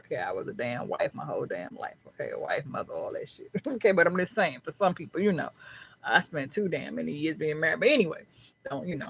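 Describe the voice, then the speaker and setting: Middle-aged woman, tarot reader, folksy chatter, swears freely, faint background noise. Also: sits low. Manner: colloquial American speech. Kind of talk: tarot reading monologue.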